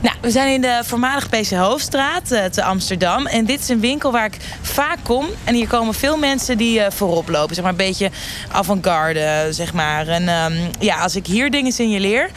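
A woman's voice: medium pitch, expressive, normal speed, natural voice. Female trendwatcher, played by an actress: breezy, self-absorbed, scatterbrained, slangy; radio satire, light outdoor noise. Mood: breezy, self-assured. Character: gushing, self-absorbed, shallow woman trendwatcher interviewed while shopping. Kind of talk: mock street interview in radio satire. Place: outdoors on a shopping street.

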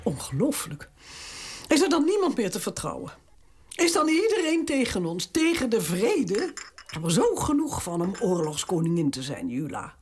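A woman's voice: medium pitch, wildly swooping pitch, normal speed, darkish tone, slightly rough. An actress playing an aging, strong-willed queen mother in a radio drama, talking in an imperious, indignant way. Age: older.

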